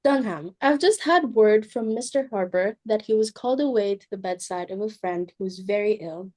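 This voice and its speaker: A woman's voice: medium pitch, lively intonation, normal speed, a little mellow, close to an everyday voice. A woman playing a fussy, domineering society hostess and mother in a dramatic reading of a stage play, exclamatory and imperious. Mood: brisk, disapproving.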